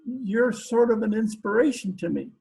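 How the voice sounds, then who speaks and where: Man playing gravelly, lovestruck gangster hitman. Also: high-pitched, moderate pace, a little mellow, low-quality recording. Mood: smitten, eager. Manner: slangy, uneducated tough-guy talk. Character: lovestruck, dim, trigger-happy male gangster hitman. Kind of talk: 1940s radio comedy acting. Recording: home video-call recording.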